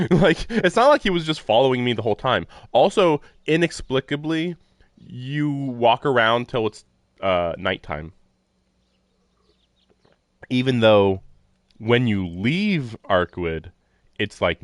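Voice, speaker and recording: A man's voice: medium pitch, no room sound, studio quality. A man live streaming a visual novel, reading its text aloud with casual, informal commentary. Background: faint game music.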